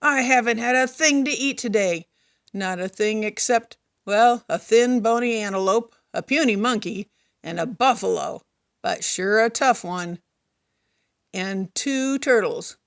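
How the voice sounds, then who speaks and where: Woman voicing a hungry male lion, boastful, loud, blustering, storybook. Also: a little high, a little slow.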